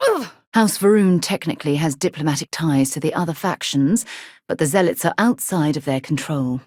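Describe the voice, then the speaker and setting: A woman's voice: sits low, darkish tone, no room sound, clean studio sound. A woman acting an earnest, composed, idealistic space explorer in a video game, speaking articulately. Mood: matter-of-fact.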